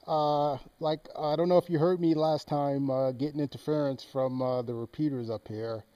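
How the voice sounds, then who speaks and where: A man's voice: a little high, steady tone, moderate pace, mellow, no echo, dull audio. A man making casual small talk as an amateur radio operator, giving call signs and checking in. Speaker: amateur radio operator recording his contacts. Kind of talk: casual ham radio chat. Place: outdoors on a mountain.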